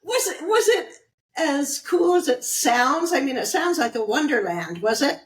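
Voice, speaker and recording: Upbeat woman podcast host, high voice that bounces all over, kinda echoey room. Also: very animated. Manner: chatty, upbeat, quick to laugh. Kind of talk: casual interview chat.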